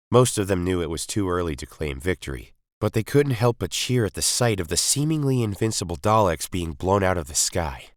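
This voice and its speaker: A man's voice: low voice, no echo, clean studio sound. A man reading a sci-fi adventure story as dramatic scripted narration. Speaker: story reader.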